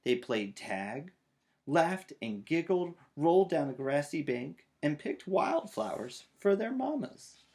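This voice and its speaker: A man's voice: on the high side, sing-song, slow, darkish tone. A man in his thirties narrating a children's storybook aloud. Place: small child's bedroom, indoors.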